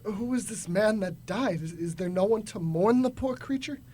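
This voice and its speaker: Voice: mid-range voice, normal speed, mellow, slightly rough, close to an everyday voice. A young adult performer playing an old repentant miser in a radio drama, theatrical and stammering. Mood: fearful, mournful.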